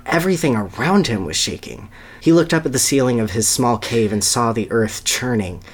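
Podcast story reader, mid-range voice, animated and amused, comfortable dropping into commentary. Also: medium pitch, normal speed, breathy edge. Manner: amused, breaking into asides. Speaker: podcast co-host. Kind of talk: dramatic reading of a children's story.

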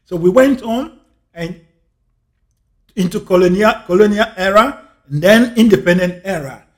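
A man with a Ghanaian English accent speaks in a fairly high voice. He talks in an earnest, reflective monologue and circles back to repeat his key phrases for emphasis. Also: normal speed. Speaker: speaker on life and scripture.

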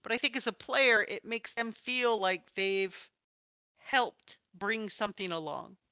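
A woman's voice: mid-range voice, measured pace, bright, forceful tone, smooth, no room sound, dull audio. A woman hosting a casual interview about tabletop games, talking in an encouraging, reassuring manner. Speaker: podcast host and interviewer, also a game master.

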